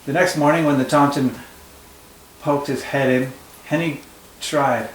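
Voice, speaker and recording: A man, a teacher, reading a picture book aloud to children with expressive storytelling and friendly asides. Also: mid-range voice, measured pace, slight background noise. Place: room at home. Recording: echoey room with faint ambience.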